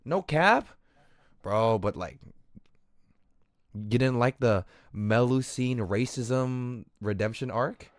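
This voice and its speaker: A man's voice: mid-range voice, lively intonation, slow, long pauses, no room sound, studio quality. A man livestreaming a game with casual, rambling, slangy commentary. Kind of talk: casual gameplay commentary to chat.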